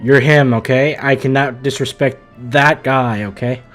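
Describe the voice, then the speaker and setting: A woman's voice: rumbling low, fairly even intonation, moderate pace. A woman live-streaming a game, casually commenting and reading along with the dialogue. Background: game music.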